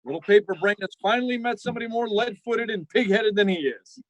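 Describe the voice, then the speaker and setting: Man as high-voiced sardonic radio-comedy doctor. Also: fast, heavy background noise, natural voice. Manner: emphatic, mock-insulting. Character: sardonic, fussy male doctor, a needling family friend. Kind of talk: classic 1940s radio comedy acting.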